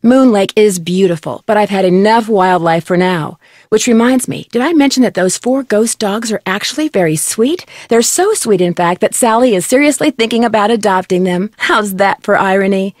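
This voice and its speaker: An actress playing a curious, determined young detective in an adventure game, with an American accent and clear, expressive delivery. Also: female voice, mid-range voice, normal speed, no room sound, studio quality, natural voice. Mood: lively, recounting. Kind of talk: scripted adventure game voice lines.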